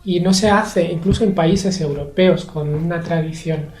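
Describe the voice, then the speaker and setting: A young man from Spain, a guest in a conversational interview, speaking in a measured, hesitant way with many pauses and fillers. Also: a little high, steady tone, a little mellow. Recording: echoey room.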